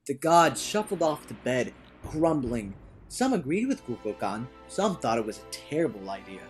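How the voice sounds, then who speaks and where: A man reading a storybook aloud as a dramatic, theatrical storyteller who moves between hushed and loud delivery. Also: medium pitch, big pitch swings, moderate pace. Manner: theatrical, swinging from hushed to shouting. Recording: orchestral music behind the voice. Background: orchestral music.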